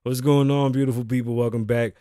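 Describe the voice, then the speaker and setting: Man in his thirties, hoarse voice, casual podcast host, loose and profane, joking asides, dry close sound. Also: medium pitch, little pitch movement, brisk, mellow, dry recording. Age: 30s. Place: show set in Las Vegas.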